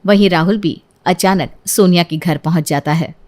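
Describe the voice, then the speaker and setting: A woman's voice: lowish voice, moderate pace, darkish tone. A woman storyteller reading a scripted narration.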